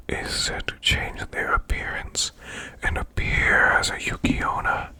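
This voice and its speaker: A man voicing a demon boss who reads eerie folklore as bedtime ASMR in a slow, hushed, growling rasp with long pauses. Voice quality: growling, gravelly, breathy rasp.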